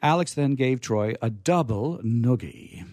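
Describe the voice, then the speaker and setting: A man's voice: medium pitch, animated, measured pace, darkish tone, no room sound. A man hosting a radio show, reading short comic stories aloud and chatting in a playful, theatrical way from a studio.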